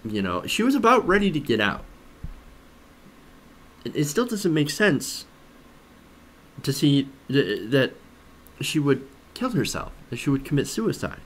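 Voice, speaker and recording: Animated male political commentator. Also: a little high, unhurried, long pauses. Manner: skeptical, emphatic, rhetorical questions. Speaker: host of a political commentary show. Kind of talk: news commentary, conversational.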